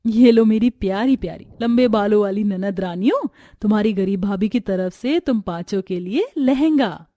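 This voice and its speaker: A woman's voice: mid-range voice, moderate pace, warm tone, no echo, clean studio sound, close to an everyday voice. A woman voicing a poor, devoted and humble sister-in-law in an earnest, pleading way for a Hindi family story. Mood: tender.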